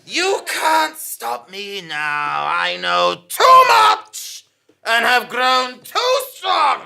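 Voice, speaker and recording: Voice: mid-range voice, huge pitch swings, extremely slow, vocal fry, pushed and bright, exaggerated voice. A performer playing a bombastic, paranoid male investigative journalist in a melodramatic, declamatory stage audio drama. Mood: defiant, grandiose. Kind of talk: theatrical live audio comedy drama.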